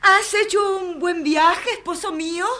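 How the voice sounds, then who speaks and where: An actress playing a frightened, pleading young wife in a children's audio storybook, melodramatic and imploring. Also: female voice, extremely high pitch, fairly even intonation, a little slow, sharp tone, heavily acted. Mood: nervous, flattering.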